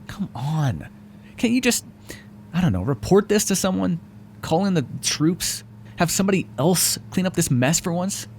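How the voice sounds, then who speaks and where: Man playing a sardonic, creaky-voiced male vampire. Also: high-pitched, sing-song, moderate pace, a little mellow, slight background noise. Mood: exasperated. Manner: sarcastic, wry, exasperated, conversational. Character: sardonic, foul-mouthed male vampire, grudgingly helpful, hungry. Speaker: roleplay voice actor. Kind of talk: intimate ASMR audio roleplay, addressing the listener.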